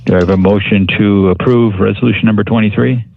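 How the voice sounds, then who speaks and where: A man chairing a county board meeting in formal procedural language, with a somewhat halting delivery. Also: fairly low pitch, steady tone, vocal fry, dry recording, narrow, tinny audio.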